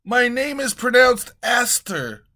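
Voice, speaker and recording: A man's voice: very high voice, sing-song, takes it slowly, bright, forceful tone, voice pushed up, full character voice. A man voicing a meek, fussy royal treasurer delivering bad news.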